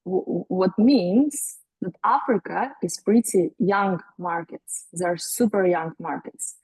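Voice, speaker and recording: A woman's voice: on the low side, takes it slowly, a little mellow, breathy edge, no echo, poor audio. A woman from Latvia, explaining matter-of-factly as a guest on a webinar over a video call. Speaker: guest speaker. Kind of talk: webinar interview.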